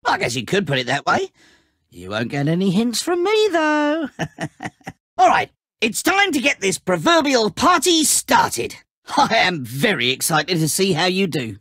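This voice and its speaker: A man's voice: high voice, wildly swooping pitch, measured pace, a bit bright, no echo, studio quality, exaggerated voice. An actor playing a giddy, taunting, all-powerful snowman trickster in a video game, with a gleeful, mocking, hammy delivery. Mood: playful taunting.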